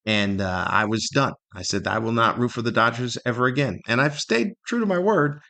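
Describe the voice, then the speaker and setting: A man's voice: mid-range voice, lively intonation, brisk, gravelly, sharp tone. An older man with an American accent, talking casually and in a rambling, self-deprecating way as he hosts a solo podcast. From Southern California, United States. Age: older, 50s or above. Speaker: podcast host.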